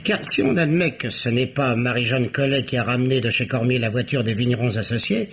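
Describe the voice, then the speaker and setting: An actor playing a methodical, mocking police investigator in a French radio mystery, speaking in a deliberate, ironic way. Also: male voice, medium pitch, a bit bright, breathy edge. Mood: reasoning. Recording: muffled, noisy vintage radio recording.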